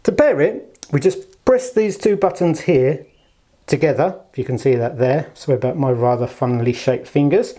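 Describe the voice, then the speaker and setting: A man's voice: a little high, lively intonation, normal speed, warm tone. A man giving a casual product demonstration in a polite, chatty way, recorded on a handheld camera as he presents. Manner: polite, chatty, fond of understated superlatives. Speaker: product presenter for an audio shop. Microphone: handheld camera held in one hand.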